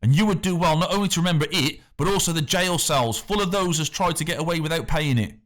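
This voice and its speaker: A man's voice: on the high side, lively intonation, fast, a bit bright, pitched above the performer's own voice. A man voicing a male tax factor who speaks affably with an underlying menace. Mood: snapping angry. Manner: smooth talk turning to snapping threats.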